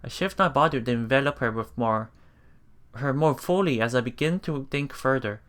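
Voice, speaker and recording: A man's voice: medium pitch, normal speed, fairly bright tone. A man narrating a visual novel aloud for a let's play, reading expressively and earnestly. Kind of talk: dramatic reading of visual novel text with live reactions.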